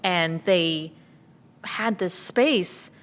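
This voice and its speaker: A woman voice actor chatting casually about her craft in a giggly, animated way. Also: on the low side, extremely slow. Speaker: voice actor with theater background. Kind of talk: casual chat about acting process.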